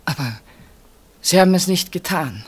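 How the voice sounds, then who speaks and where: A woman's voice: on the low side, lively intonation, normal speed, slightly rough. Troubled woman, earnest, probing, formally polite, radio play confidante. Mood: anxious, probing. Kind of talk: German radio play acting.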